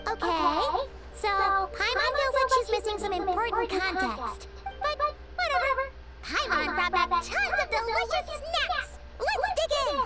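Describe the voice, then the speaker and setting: A tiny game fairy girl with an extremely high, squeaky voice. She chatters excitably with lively swoops, over prominent music. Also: unhurried, exaggerated voice. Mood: cheerful. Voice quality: squeaky, childlike. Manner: exclamatory, speaks of self in third person. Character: tiny childlike female fairy companion, excitable, chatty, snack-loving. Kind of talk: video game character acting.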